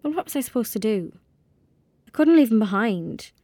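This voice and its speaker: A woman's voice: on the high side, lively intonation, normal speed, mellow, dry recording. Young rural Irish girl, earnest, imaginative, matter-of-fact, audio drama, close dry sound. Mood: protective, bitter. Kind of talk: naturalistic audio drama acting.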